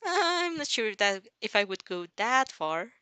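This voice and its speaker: A woman voicing a gentle, timid teenage boy in a fan fiction reading, earnest and hesitant. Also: a little high, sing-song, unhurried, clear, resonant tone, no room sound, full character voice.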